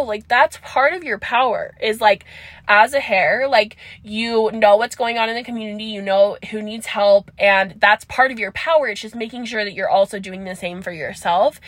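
A woman's voice: on the high side, a bit bright, dry recording. A young woman hosting a casual solo podcast, rambling and chatty with frequent laughs, her nose stuffed up, talking from inside her car. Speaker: podcast host. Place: parked car.

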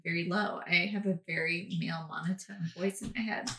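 A woman's voice: on the low side, fairly even intonation, brisk, bright, forceful tone. A woman co-hosting a podcast, chatting casually. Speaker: podcast co-host. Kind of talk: casual chat. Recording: roomy echo, clear background noise.

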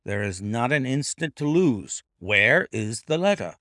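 A man doing a brisk commanding gentleman detective. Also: medium pitch, big pitch swings, no room sound, clean studio sound. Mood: urgent. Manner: crisp, authoritative, measured.

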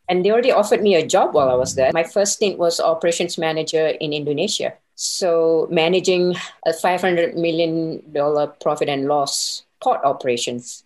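Female interview guest, middle-aged, low register, conversational storyteller who quotes her own inner thoughts. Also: a little mellow. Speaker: interview guest, consultant and business owner.

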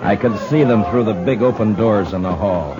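An actor playing a plainspoken, reflective frontier lawman, with halting, musing delivery in 1950s radio western style. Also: on the low side, over a noisy crowd, lightly acted. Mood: wistful. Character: plainspoken, reflective Old West lawman narrating his story. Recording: dull old 1950s radio recording with music.

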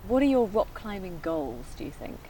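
A woman's voice: medium pitch, sing-song, mellow, recorded from a distance, slight background noise. A woman hosting a podcast interview who asks questions and eagerly affirms her guest's answers. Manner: encouraging, quick to affirm with brief interjections. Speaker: podcast host.

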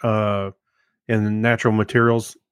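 A man's voice: fairly low pitch, level tone, moderate pace, smooth, no echo. Male podcast co-host, dry sound. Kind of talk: casual chat and commentary.

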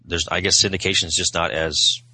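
A man's voice: low voice, little pitch movement, brisk, bright, forceful tone, husky, rough recording. A man chatting casually as a podcast guest, candid and informal, often qualifying his own opinions. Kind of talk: casual chat about TV shows.

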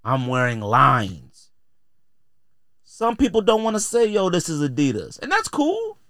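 A man's voice: a little high, huge pitch swings, unhurried, fairly bright tone, no room sound, clean studio sound. A man hosting a podcast in a casual, opinionated rant, emphatic and exasperated, repeating phrases to drive points home. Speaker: podcast host.

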